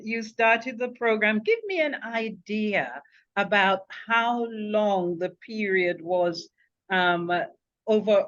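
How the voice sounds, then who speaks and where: A woman hosting a casual interview, encouraging her guest and laughing often. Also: mid-range voice. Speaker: interviewer, program host. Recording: dull lo-fi call audio.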